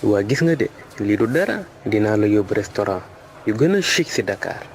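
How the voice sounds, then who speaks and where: A man with a Senegalese accent acting a smooth-talking young suitor in a comic cartoon, switching between French and Wolof. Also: lowish voice, very animated, normal speed, slight background noise. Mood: suave, courting. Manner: mixes French phrases into Wolof. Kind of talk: comic animated cartoon dialogue.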